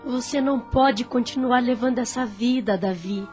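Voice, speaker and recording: An actress playing a patient, caring woman in a radio drama, earnest and gently reproachful, with a Brazilian accent. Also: a little high, moderate pace, faint music behind, lightly acted. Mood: worried, urging. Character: caring, patient, worried woman pleading with troubled man.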